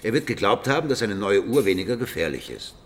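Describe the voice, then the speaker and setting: An actor playing a calm, wry police detective in a radio crime drama, speaking in a measured, dry way. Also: male voice, fairly low pitch. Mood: matter-of-fact. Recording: faint background ambience noise.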